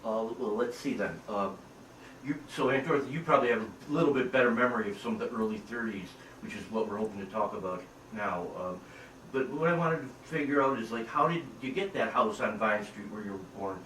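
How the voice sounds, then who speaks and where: A man's voice: fairly low pitch, fairly quick, a bit bright. An American man asking questions in a casual family interview, with hesitant, loosely phrased wording. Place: echoey room, away from the microphone. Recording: echoey, distant, noisy room.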